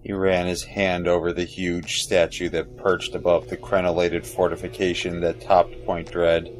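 A man's voice: low voice, little pitch movement, normal speed. A man reading scripted, dramatic fantasy narration for an audio drama. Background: music.

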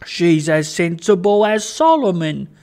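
A kindly, poor old father from a storybook, acted out by a narrator, with a creaky, gravelly voice and an unhurried pace. He is cheery and eager, rambling on and tripping over his own words in a flustered way. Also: medium pitch, a little mellow. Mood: delighted, teasing. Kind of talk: dramatised storybook reading.

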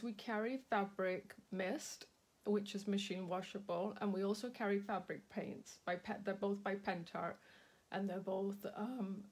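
A woman hosting a live craft demonstration, chatty and full of ums, with a slightly sore throat. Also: medium pitch. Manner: slow, chatty, many ums, greets viewers by name. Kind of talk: casual live craft demo. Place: indoor craft table.

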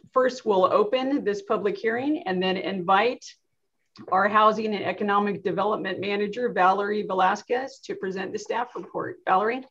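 Woman mayor chairing a meeting, muffled. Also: mid-range voice. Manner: measured, procedural. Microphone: video call. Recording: slightly dull video call audio.

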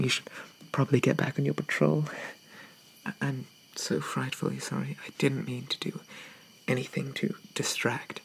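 A man playing a shy, apologetic young zombie in an ASMR roleplay, with hesitant, stammering, old-fashioned politeness and a soft whisper. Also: medium pitch, a little hiss or hum, rough recording. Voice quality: soft, breathy whisper with creaky edges.

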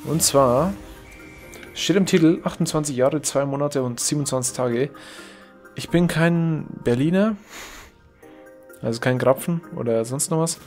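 A young man from Germany, a gaming YouTuber chatting casually over his gameplay, rambling and correcting himself, reacting loudly to the game. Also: a little high, measured pace, pauses often, soft background music.

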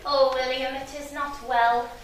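A distraught wronged young village woman, acted by a woman, wailing and exclaiming in a very high voice. She talks slowly and sounds far off in a very echoey hall. Background noise from the hall is clearly heard. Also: level tone. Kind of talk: melodrama stage acting. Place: small theatre stage. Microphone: far camera in audience.

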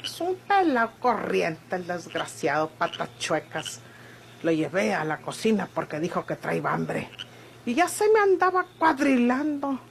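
A woman's voice: mid-range voice, sing-song, a little slow, gravelly, slight background noise. An actress playing a feisty, blunt ranch woman with a rural Mexican accent and rustic speech, in an old radio drama. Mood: fiery, scornful. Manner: rustic, sharp-tongued speech. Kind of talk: Mexican golden-age radionovela acting.

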